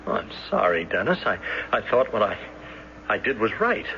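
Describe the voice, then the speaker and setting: An actor playing a mild, soft-hearted banker and younger brother, earnest and hesitant, in old radio drama style. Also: male voice, fairly low pitch, very animated, moderate pace, full character voice. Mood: apologetic. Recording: dull, noisy old radio broadcast.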